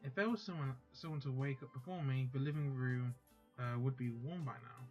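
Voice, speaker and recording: A man reads a game's story text aloud in a mid-pitched voice, plainly and steadily, tripping over words and fixing them as he goes. The recording sounds dull and lo-fi, with soft music playing underneath. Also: brisk. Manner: reads steadily, stumbles and corrects himself mid-line. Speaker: gaming YouTuber reading a visual novel aloud. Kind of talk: casual read-aloud of game text. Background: faint background music.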